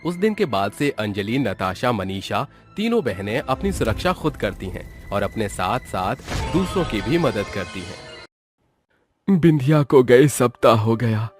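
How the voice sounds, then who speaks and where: A man narrating an animated moral story in a steady, clear storytelling manner. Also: on the low side, a little slow, faint music behind.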